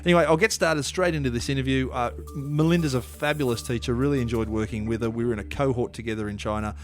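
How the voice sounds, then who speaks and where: A man's voice: mid-range voice, fairly even intonation, fast talker, faint music behind. A man hosting a podcast interview in a loose, rambling way, full of fillers and restarts. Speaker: podcast host.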